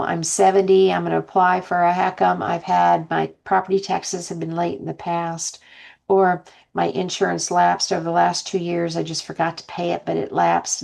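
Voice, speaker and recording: Low, gravelly-voiced woman giving an explainer. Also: darkish tone. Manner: rambling, explains through first-person hypothetical examples. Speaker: housing counselor presenting. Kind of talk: informal explanatory lesson.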